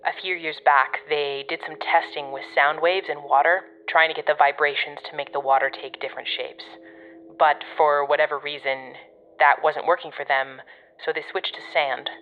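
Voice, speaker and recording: An actress playing a gruff, exasperated but protective woman guiding a colleague over the radio in an audio drama, bossy and sardonic. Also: sits low, steady tone, moderate pace, muffled recording, a little hiss or hum. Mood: wry, instructing.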